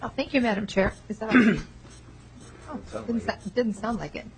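A female state legislator introducing herself at a committee meeting, speaking loudly and projecting. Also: on the high side, moderate pace, a little hiss or hum. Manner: loud, projecting voice.

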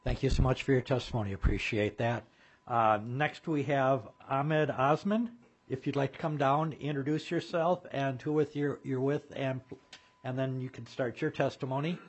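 A man's voice: mid-range voice, steady tone, normal speed, husky, lo-fi sound. A man moderating a formal committee meeting as its chair, speaking courteously.

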